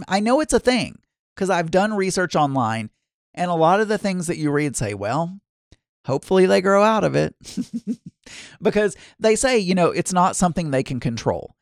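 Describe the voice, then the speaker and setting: A man's voice: high-pitched, big pitch swings, normal speed, no echo, clean studio sound. A man with a Southern accent chatting casually to viewers, rambling and often correcting himself mid-sentence. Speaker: vlogger. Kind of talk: casual chat.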